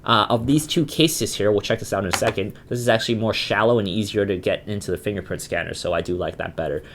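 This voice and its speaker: A man with a slightly low voice and a cold hosts his own gadget review channel. He talks casually and chattily, circling back with fillers and restarts as he walks through a product. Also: quick pace, a bit bright, slight background noise. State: has a cold, voice sounds a bit off. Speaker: tech reviewer, channel host.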